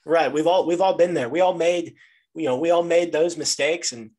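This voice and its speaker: A man's voice: fairly high pitch, moderate pace, a little mellow. A man hosting a casual interview, repeating words and rephrasing as he puts his questions. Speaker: interviewer, host.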